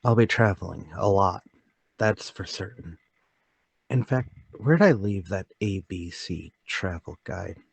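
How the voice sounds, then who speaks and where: Man playing a timid, low-voiced nervous lodger. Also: expressive, unhurried, vocal fry, breathy edge, exaggerated voice. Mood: quietly excited. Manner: hesitant, repeating himself, polite. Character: timid, nervous middle-class man lodger, secretly excited. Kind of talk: radio-play table read.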